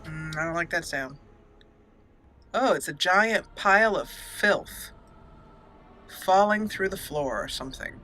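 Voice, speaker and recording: A woman's voice: lowish voice, expressive, takes it slowly, pauses often, pushed and bright. A woman giving casual, chatty let's play commentary as a gaming host, playfully exclaiming and talking to the characters on screen.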